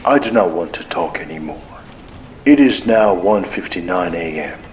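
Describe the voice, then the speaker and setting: A man's voice: low-pitched, animated, moderate pace, slight background noise. A man voicing a cold, menacing hijacker in an audiobook, speaking in a curt, threatening way. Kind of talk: audiobook character dialogue.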